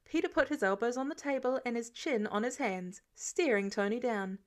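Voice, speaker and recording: Woman, audiobook narrator, fairly high voice, expressive scripted storytelling. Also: normal speed. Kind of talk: expressive fan fiction narration.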